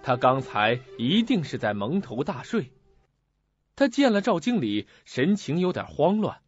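A man's voice: fairly high pitch, sing-song, measured pace, slightly rough, no room sound. A man giving scripted, dramatic narration for a suspense radio drama. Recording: dull audio with music behind.

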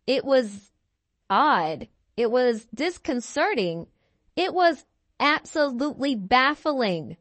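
Woman as a boastful, animated high-pitched cheese wheel. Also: no echo, heavily acted. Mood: baffled. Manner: theatrical, bragging, drawn-out emphatic words. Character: boastful, melodramatic cheese wheel telling its own story. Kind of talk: expressive children's picture book read-aloud.

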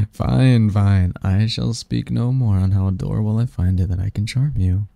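A man performs a seductive male sleep demon in an intimate ASMR roleplay, low and very mellow. He speaks slowly and softly, with teasing, possessive devotion and formal, flirtatious phrasing. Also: gravelly, no room sound. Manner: flirtatious, formal wording, soft intimate delivery, chuckling.

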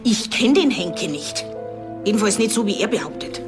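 An actress playing a haughty, indignant high-society wife with a snobbish manner. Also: female voice, lively intonation, normal speed, music in the background, lightly acted.

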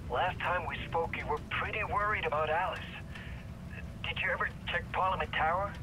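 An actor playing an urgent, secretive helper over the telephone in a video game, insistent and conspiratorial. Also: male voice, a little high, normal speed. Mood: urgent warning. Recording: noisy phone line.

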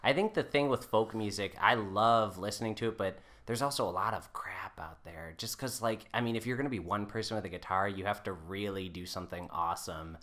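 A man's voice: on the low side, fairly quick, few pauses, a bit bright. A man talking casually as a podcast guest, conversational and self-deprecating, often saying "like". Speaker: podcast guest, folk musician. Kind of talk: casual chat.

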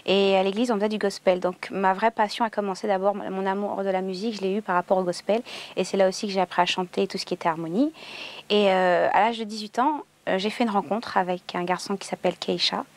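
A young woman, a singer, talking fluently and lively in an interview while seated on a sofa in a recording studio. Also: medium pitch, quick pace. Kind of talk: interview talk.

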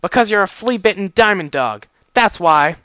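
A man voicing a hostile young female pony guard who shouts and sneers. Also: very high voice, very animated, a little slow, vocal fry, no echo, pitched above the performer's own voice, full character voice. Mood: scornful.

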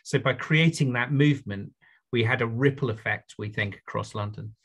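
A man's voice: mid-range voice, normal speed, fairly bright tone, husky. A middle-aged man speaking as a panelist on a video call through a headset, casual, with slang and fillers. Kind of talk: online panel discussion.

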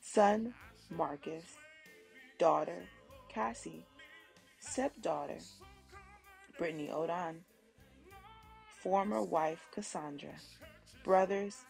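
A woman's voice: fairly low pitch, slow, creaky, pauses often, darkish tone, faint music behind. A woman reading a scripted memorial biography of a family member.

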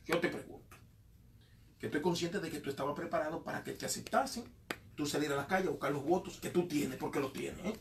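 A man's voice: a little high, quick pace, reverberant, far from the mic, background noise. A man hosting a radio talk show, teasing his guest and then lecturing in long questions. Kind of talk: radio studio interview. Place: radio studio.

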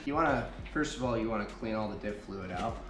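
A man's voice: on the low side, quick pace, heavy background noise. A young man, a workshop welder, casually explaining a hands-on job as he works in a garage. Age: young adult. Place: large concrete workshop garage. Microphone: camera held nearby. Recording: echoey garage, music over it.

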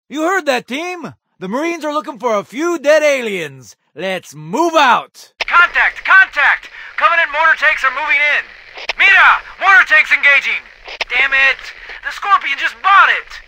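High, urgent young Marine. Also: male voice, sharp tone, full character voice. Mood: cocky, spirited, then urgent, alarmed. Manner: urgent, clipped military delivery. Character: gutsy, anxious young male Marine soldier in battle. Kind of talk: video game combat voice lines.

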